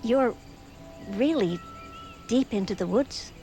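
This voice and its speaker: A woman's voice: fairly high pitch, animated, slow, warm tone, soft background music. An actress playing a sinister old woman feigning helpfulness in a TV drama. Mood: falsely kind. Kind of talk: TV drama acting.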